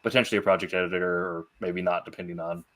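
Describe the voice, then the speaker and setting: A man with a lowish voice hosts a casual interview. He puts his questions conversationally and haltingly, feeling for the right words as he goes. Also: fairly even intonation, a bit bright. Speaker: interview host.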